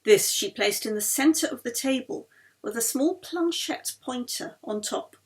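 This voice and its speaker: Woman, audiobook narrator. Highly animated intonation, slightly high voice, expressive literary storytelling. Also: unhurried. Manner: acts out dialogue of characters. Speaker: author reading own novel aloud.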